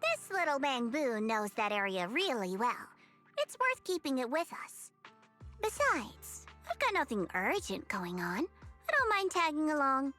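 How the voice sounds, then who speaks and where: A confident, teasing young investigator from a game. Her high voice swings lively up and down as she needles her companions with knowing remarks. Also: unhurried, soft background music, exaggerated voice. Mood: brisk. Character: sly, teasing young woman investigator, self-assured.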